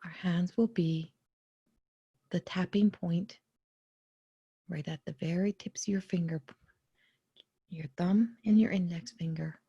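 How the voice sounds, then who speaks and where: A woman leading a guided meditation in a soft, breathy, often near-whispered voice, gently and without hurry, pausing between short phrases. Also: lowish voice, steady tone, no room sound, lo-fi sound. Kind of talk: guided meditation, soothing spoken instruction.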